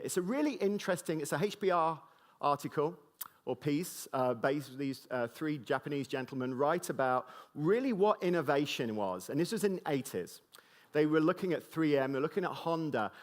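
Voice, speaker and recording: A middle-aged British man with a slight mid-Atlantic tinge gives a conference keynote to a live audience. He jokes loosely and fills his talk with fillers and restarts. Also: on the high side. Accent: British English with a slight mid-Atlantic tinge. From Britain.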